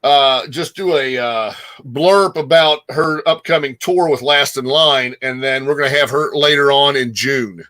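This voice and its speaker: A man's voice: medium pitch, a little slow, pushed and bright. A man co-hosting a music talk show in casual, conversational chat.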